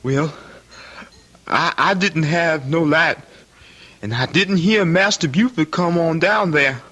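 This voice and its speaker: An actor playing a simple-minded, childlike country son with a Southern American accent and halting, repetitive speech in a radio drama. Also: male voice, on the high side, bright, forceful tone, exaggerated voice. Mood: distressed.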